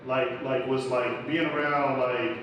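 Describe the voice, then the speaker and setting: A man's voice: mid-range voice, fairly even intonation, takes it slowly, a bit bright. Slangy New guy in an echoey room. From New York, the Bronx. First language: Spanish. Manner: loose and rambling, many fillers and street slang. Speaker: entrepreneur guest. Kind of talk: casual interview talk. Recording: echoey room, far from mic, noisy.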